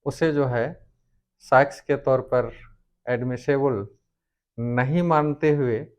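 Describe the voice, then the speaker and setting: A man giving a formal explanatory lecture on law, speaking deliberately in short, halting phrases as a presenter. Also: medium pitch, fairly even intonation, a little mellow, smooth.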